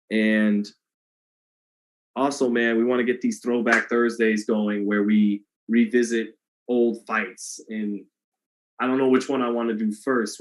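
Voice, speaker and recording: A man hosting a boxing livestream in a loose, rambling way, talking to his chat with a flat, monotone delivery. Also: medium pitch, poor audio. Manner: monotone delivery, self-described; loose and rambling, many restarts. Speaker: boxing commentator and livestream host. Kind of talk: casual livestream chat, reading donations.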